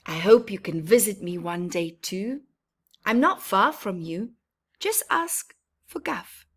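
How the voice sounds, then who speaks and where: A woman's voice: mid-range voice, expressive, slow pace, a bit bright, husky, full character voice. A woman voicing a proud, cheerful young boy tree from a children's storybook, with earnest, emphatic phrasing. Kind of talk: children's storybook narration in the first person.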